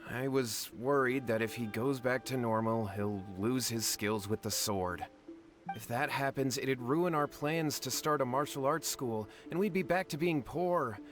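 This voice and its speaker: A man's voice: mid-range voice, fairly bright tone, lightly acted. A man playing a nervous, cowardly young townsman in a video game, flustered and pleading as he talks.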